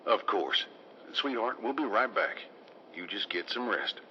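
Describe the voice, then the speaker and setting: Low-voiced gentle radio father. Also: expressive, unhurried, slight background noise. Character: gentle, troubled father of a young girl. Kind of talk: old-time radio drama acting. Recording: dull lo-fi radio sound.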